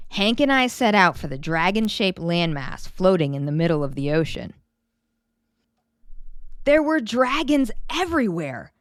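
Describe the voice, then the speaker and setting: Clear-voiced woman reading a children's storybook. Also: mid-range voice, no room sound, clean studio sound. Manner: animated, dramatic storytelling with drawn-out emphasis. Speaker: children's story reader and host. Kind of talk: expressive children's storybook read-aloud.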